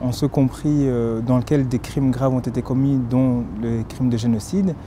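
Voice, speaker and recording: Very dark-voiced man interviewing politely. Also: mid-range voice, fairly even intonation, slight background noise. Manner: measured, polite, slightly halting. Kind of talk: formal interview questions. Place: park lawn outdoors.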